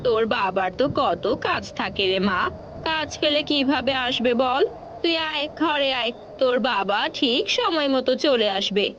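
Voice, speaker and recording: A woman's voice: high-pitched, animated, normal speed, a bit bright, dull audio. A woman playing a caring, fretful poor village wife and mother with a coaxing, homely manner in a Bengali cartoon fairy tale. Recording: faint rain noise behind.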